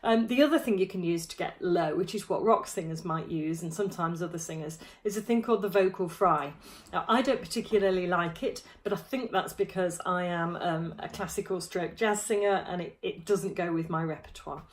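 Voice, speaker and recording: Friendly female choir coach, thirties, lower voice, relaxed teaching tone, laptop-mic home recording. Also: a little fast. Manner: friendly, demonstrative, touching throat and jaw while explaining. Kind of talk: vocal warm-up lesson to camera. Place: small room at home, indoors.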